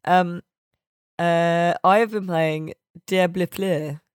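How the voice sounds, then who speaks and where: A woman's voice: on the low side, fairly even intonation, slow pace, clear, resonant tone, no room sound, studio quality. A woman chatting casually and jokily about video games as a podcast co-host. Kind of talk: casual, jokey video game chat.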